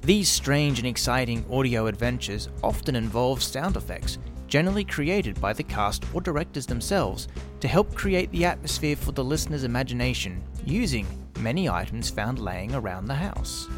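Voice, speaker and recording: A man's voice: mid-range voice, animated, moderate pace. A middle-aged man with an Australian accent, reading scripted promotional narration as a podcast host. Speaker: podcast host and drama workshop creator. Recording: music behind the voice. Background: music.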